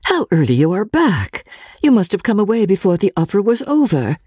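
An attentive Victorian lady from a classic novel, as acted by a male narrator, speaks politely and with care. The voice is lifted in pitch and lively in its swing, with a soft husky grain. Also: a little fast, dry recording, studio quality, heavily acted. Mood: surprised. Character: attentive, caring Victorian gentlewoman.

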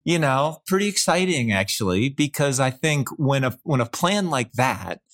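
Animated, chatty man on a podcast. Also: mid-range voice. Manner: chatty, halting restarts, laughs at own jokes. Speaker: podcast co-host. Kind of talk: casual podcast chat about investing.

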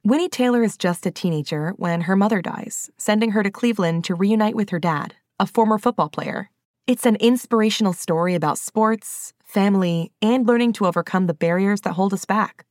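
A woman hosts with an upbeat, scripted promotional read. Her medium-pitched voice is dry and close, speaking directly to the listener. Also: brisk, darkish tone, dry recording. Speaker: podcast host.

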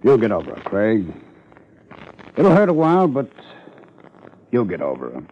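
A man's voice: lowish voice, wildly swooping pitch, normal speed, a little hiss or hum. A man acting a blunt, authoritative lawman in a 1950s radio drama, with emphatic delivery. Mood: consoling. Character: blustery, authoritative lawman, indignant then soberly blunt. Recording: muffled 1950s radio recording.

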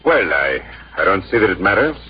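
A man's voice: low voice, sing-song, a little fast, breathy edge, heavily acted. An actor playing a shrewd, evasive, scornful frontiersman in a dismissive 1950s radio drama manner. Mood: defensive, scornful. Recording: muffled old radio broadcast.

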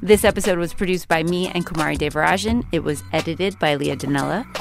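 A woman's voice: low-pitched, fast talker, vocal fry, faint music behind. An American woman hosting a podcast in a casual, conversational way. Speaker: podcast host.

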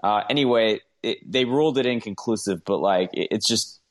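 A man's voice: on the low side, brisk. A man chatting casually as a sports show host, emphatic and sarcastic with mock outrage. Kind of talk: casual sports fan chat.

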